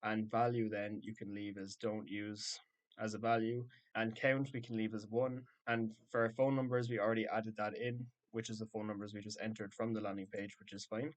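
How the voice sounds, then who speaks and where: Low, even-toned man giving a tutorial. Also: normal speed. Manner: instructional, matter-of-fact. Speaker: tutorial presenter. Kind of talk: step-by-step software tutorial narration.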